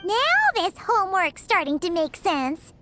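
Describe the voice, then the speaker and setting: Tiny, squeaky childlike fairy companion. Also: female voice, measured pace, fairly bright tone, faint music behind. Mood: pleased. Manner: exclamatory, childlike wonder. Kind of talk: video game voice acting.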